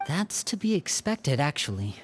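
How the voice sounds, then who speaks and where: An actor playing a composed, analytical young male agent of a secret order, explaining things in measured game dialogue. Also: on the high side, big pitch swings, a little hiss or hum. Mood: composed, explanatory.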